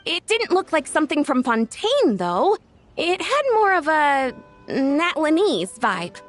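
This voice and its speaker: A woman's voice: sits high, very animated, a little slow. A woman voicing an earnest young event organizer in a video game, chatty, friendly and a little flustered. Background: soft game music.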